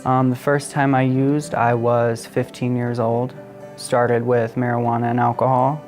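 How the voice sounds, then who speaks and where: A man's voice: mid-range voice, flat delivery, measured pace, a little mellow, soft background music. A man in his twenties in recovery, telling his story in a matter-of-fact way during an interview. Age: 20s. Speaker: person in recovery. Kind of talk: personal testimony interview.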